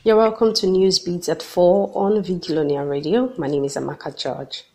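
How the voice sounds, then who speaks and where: A woman's voice: lowish voice, loud music behind the voice. Nigerian woman reading radio news. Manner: measured, formal delivery. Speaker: newsreader.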